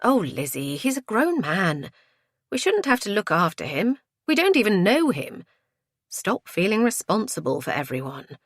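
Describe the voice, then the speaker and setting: No-nonsense mum voiced by a female narrator, brisk and firm, animated pitch, dry studio sound. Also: no echo. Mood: exasperated. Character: practical, no-nonsense mother, a bit exasperated.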